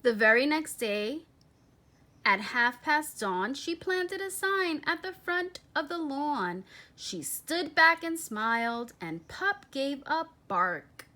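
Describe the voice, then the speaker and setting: A woman reads a children's picture book aloud in a high-pitched voice. Her pace is very unhurried, and she phrases each part deliberately, with expressive emphasis as a storyteller.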